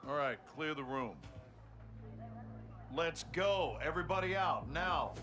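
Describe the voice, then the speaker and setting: A man's voice: on the high side, expressive, a little slow, music in the background. A man playing an irate, domineering army general in a military video game. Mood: angry.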